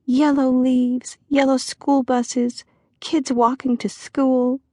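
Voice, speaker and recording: A woman voicing a tender-hearted therapist with a slightly munchkin, little-girl voice, gentle and gushing. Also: high voice, little pitch movement, slow, dry recording, clean studio sound, voice pushed up. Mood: tearful.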